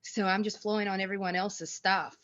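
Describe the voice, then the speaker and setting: A woman giving feedback in a casual group discussion, loose and agreeable, on dull, low-fidelity audio. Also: little pitch movement, brisk, fairly bright tone.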